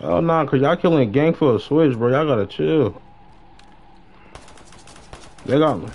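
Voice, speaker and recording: A man's voice: fairly high pitch, lively intonation, a little slow, lo-fi sound. A young American man livestreaming a game, chatting casually in slang and repeating short phrases. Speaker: live streamer playing a role-play game. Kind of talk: casual gaming chat.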